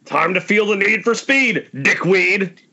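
A man's voice: high-pitched, a little slow, exaggerated voice. A man playing a gleeful, leering demonic killer in an amateur horror script read over a noisy internet call. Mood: gleeful taunting. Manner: taunting, gleeful. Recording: muffled internet call, loud noise.

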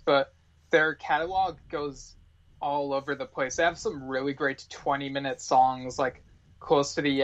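A man speaking as a podcast guest in casual chat, with earnest fan enthusiasm, recorded with dull, lo-fi sound. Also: medium pitch.

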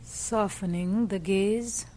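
A woman leading a guided meditation as a teacher, speaking gently in short, measured phrases with pauses. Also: medium pitch. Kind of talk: slow guided meditation narration.

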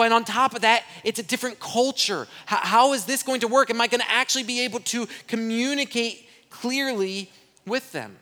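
Young guy pastor from brazil, high forceful voice, telling his story kind of sheepish and honest, church hall echo. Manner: candid, self-deprecating storytelling. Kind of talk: church missions report talk. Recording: long reverb from a church hall.

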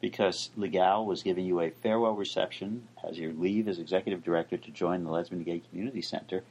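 Deep husky-voiced older law professor. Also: male voice, steady tone, darkish tone, poor audio. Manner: dry, measured. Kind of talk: explaining legal news.

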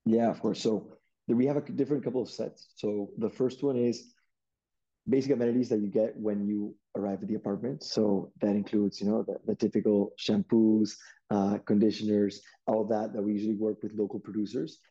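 A young man from Peru, a startup CEO, answering interview questions in a relaxed way with many restarts and fillers. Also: fairly low pitch, brisk, muffled recording.

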